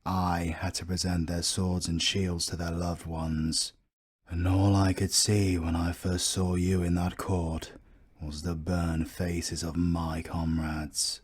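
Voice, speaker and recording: Man acting low, measured British army captain. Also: flat delivery, slightly rough, exaggerated voice. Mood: solemn, regretful. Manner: formal, measured. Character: stiff, proud male army captain, humbled and courteous. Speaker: voice actor. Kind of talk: romantic fantasy audio roleplay acting.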